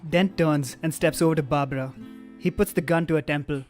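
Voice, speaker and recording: A man narrating stage directions for a comic table read, with wry, deadpan asides. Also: on the high side, fairly quick. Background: live guitar music.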